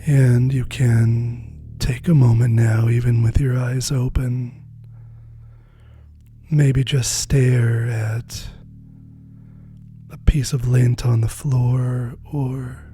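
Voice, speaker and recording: A meditation guide speaking softly and gently, unhurried with long pauses, often dropping close to a whisper in a spiritual guided practice. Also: medium pitch, level tone, soft background music.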